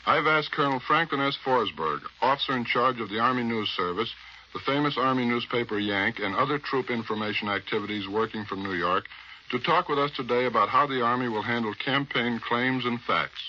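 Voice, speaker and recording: A man's voice: mid-range voice, brisk, bright, forceful tone. An American man reporting and interviewing on 1940s radio, reading his script in a wry, emphatic way. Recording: muffled 1940s radio, faint noise.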